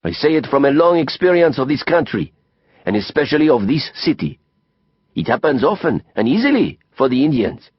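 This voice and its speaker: A worldly, opinionated Frenchman from a storybook. His French-accented speech is raised and swoops widely, declaiming with florid emphasis. The voice is husky, in slightly dull audio. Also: mid-range voice, big pitch swings, a little fast, no room sound, voice pushed up. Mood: earnest, passionate. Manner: emphatic, declamatory, repeats phrases for effect. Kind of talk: audiobook character acting.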